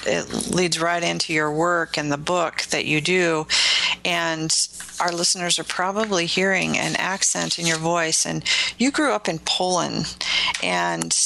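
A woman's voice: fairly low pitch, vocal fry, sharp tone, a little hiss or hum, rough recording. A woman hosting a podcast interview, affirming her guest and asking eager questions. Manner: enthusiastic, affirming. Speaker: interview host.

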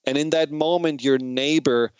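A man's voice: on the high side, fairly even intonation, normal speed, clean tone, dry recording, dull audio. A man from Germany with a German accent speaks in an earnest, reflective way, explaining ideas in an informal educational monologue.

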